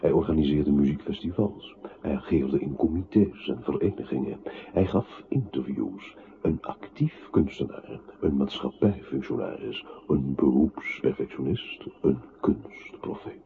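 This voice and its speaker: An actor playing a grave narrator in a poetic radio play, speaking in a measured, declamatory way. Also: male voice, a bass voice, expressive, creaky, breathy edge, exaggerated voice. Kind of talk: literary radio drama narration. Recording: tinny, band-limited old radio-play recording with noise.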